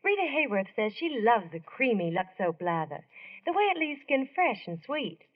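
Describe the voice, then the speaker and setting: Female spokeswoman, vintage radio commercial, chirpy and polished, very lively intonation, narrow muffled sound. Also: a little high, normal speed. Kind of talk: 1940s scripted radio ad dialogue. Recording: muffled old radio recording.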